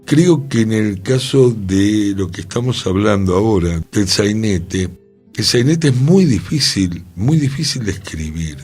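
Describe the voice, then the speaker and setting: A man with a Rioplatense accent co-hosting a cultural radio discussion, speaking deliberately and repeating words for emphasis. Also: on the low side, lively intonation, darkish tone, slightly rough, soft background music. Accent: Rioplatense Spanish. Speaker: radio show co-host.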